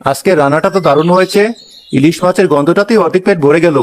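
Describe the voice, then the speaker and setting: A man's voice: on the high side, quick pace, natural voice. A man voicing an easygoing adult son in a comedy cartoon, with a light manner. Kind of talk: animated comedy story acting. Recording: music behind the voice. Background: music.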